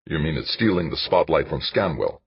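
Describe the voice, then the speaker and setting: A gruff, stubborn businessman under pressure, acted out by a male audiobook reader. His voice is deep and rough-edged, and he argues bluntly with swinging, emphatic pitch. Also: animated, brisk, no room sound, muffled recording, exaggerated voice. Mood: angry. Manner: blunt, combative, grumbling, swears mildly.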